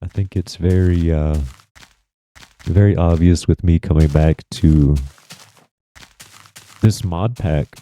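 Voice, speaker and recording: A man with a deep voice, rambling softly through a relaxed gameplay commentary as a channel host. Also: slow, long pauses. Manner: rambling, soft-spoken, reflective.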